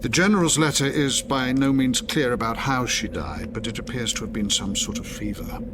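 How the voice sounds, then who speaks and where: A man playing a middle-aged, studious gentleman father in a gothic audio drama, speaking formally and with restraint. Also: mid-range voice, moderate pace, background noise, natural voice. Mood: grave. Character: grave, reserved, studious gentleman father of the household. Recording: audio drama with clear background ambience.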